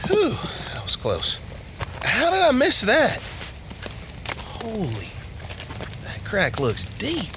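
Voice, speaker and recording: A man's voice: sits high, huge pitch swings, slow, pauses often, heavily acted. A man acting a talkative, jittery small-town deputy in an audio drama, folksy, wisecracking and full of complaints. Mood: startled, uneasy. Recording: clearly noisy outdoor scene ambience.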